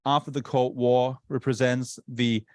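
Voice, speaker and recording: A man's voice: mid-range voice, level tone, measured pace, no room sound. A male university lecturer who is not a native English speaker, giving a conversational lecture and often checking in with tag questions. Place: lecture room.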